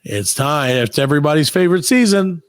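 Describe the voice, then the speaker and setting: A man's voice: on the high side, expressive, brisk, no echo, studio quality. A man hosting a comedy podcast in casual, playfully argumentative banter. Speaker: podcast host.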